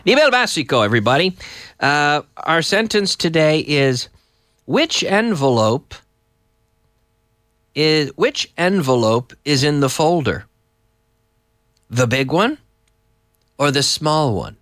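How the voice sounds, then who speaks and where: A man with a cold and a hoarse voice, teaching English live to viewers in a chatty, slow, explaining way. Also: fairly high pitch, big pitch swings, fairly bright tone, dry recording, studio quality. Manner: chatty, reads viewer messages aloud and explains slowly. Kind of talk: live online English lesson.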